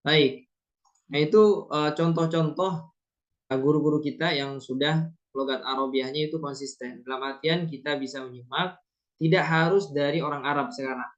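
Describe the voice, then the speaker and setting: Indonesian guy teaching a quran reading course, kinda high voice, lots of little "ya" fillers, muffled lo-fi audio. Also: steady tone, moderate pace. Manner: explanatory, frequent affirming "ya" and "nah" fillers. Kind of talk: lecture at a teacher training course.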